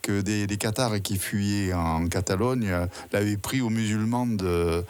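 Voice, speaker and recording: A man's voice: lowish voice, unhurried, darkish tone, clean tone. A man hosting a radio interview, asking friendly leading questions and restating his guest's answers. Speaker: radio host.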